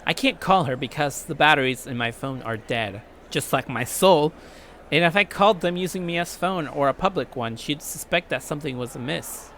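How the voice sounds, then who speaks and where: A man's voice: fairly high pitch, a bit bright, slight background noise. A young American man hosting a let's play, reading game narration with irreverent, casual commentary. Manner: irreverent, swearing.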